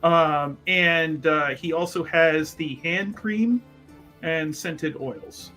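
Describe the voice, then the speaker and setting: A man at a tabletop roleplaying game, casually and hesitantly thinking out loud about the details. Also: a little high, takes it slowly, soft background music.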